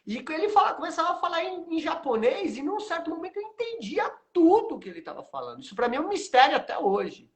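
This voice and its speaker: A man hosting a live interview, telling stories with lively asides. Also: extremely high pitch, fast, few pauses. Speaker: host, martial arts teacher.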